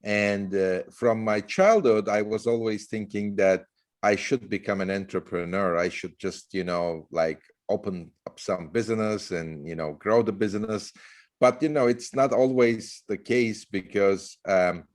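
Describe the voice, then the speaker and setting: Low-voiced rambling man from Turkey. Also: unhurried. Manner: loose, rambling with many fillers. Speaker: guest, startup CEO. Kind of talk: casual interview talk.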